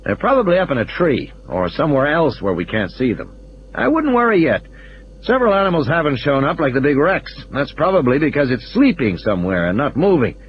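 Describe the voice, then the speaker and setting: A man's voice: on the high side, sing-song, fairly quick, highs cut off, a little hiss or hum, voice pushed up. A man voicing a matter-of-fact male control room engineer who explains technical things with assurance, in audio play dialogue. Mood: assured, explaining.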